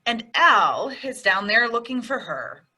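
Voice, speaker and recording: A woman's voice: fairly high pitch, very animated, measured pace, sharp tone, breathy edge, exaggerated voice. A woman playing a wry, sensible friend who narrates in old-time radio comedy style with sardonic humour. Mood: wry, amused. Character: wry, sensible female friend narrating her roommate's antics.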